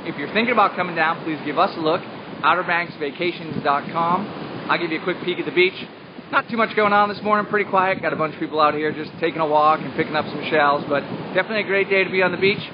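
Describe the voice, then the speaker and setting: A man's voice: fairly high pitch, fast talker. A man in his thirties cheerfully giving a morning beach report to a phone held at arm's length, outdoors on a sunny beach. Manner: cheerful and easygoing, listing conditions. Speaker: vacation rental host giving beach report. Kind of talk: upbeat casual report to camera. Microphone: phone camera at arm's length. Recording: dull lo-fi phone audio with wind and surf noise.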